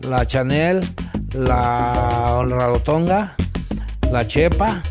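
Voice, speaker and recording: Older Mexican man, interviewee, casual campy raconteur, clear music bed underneath. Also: mid-range voice, takes it slowly. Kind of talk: casual personal storytelling.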